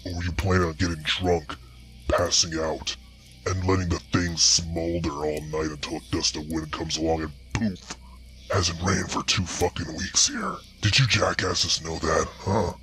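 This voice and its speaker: A man voicing an old, ragged, angry male bear with a rough, raspy growl. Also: low voice, steady tone, measured pace, a bit bright, faint music behind, pitched below the performer's own voice. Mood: scolding.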